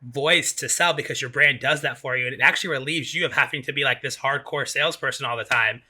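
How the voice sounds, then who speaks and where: A man's voice: mid-range voice, quick pace, bright, forceful tone, husky. A man hosting a podcast in casual chat, informal and circling back to restate his points. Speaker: podcast host.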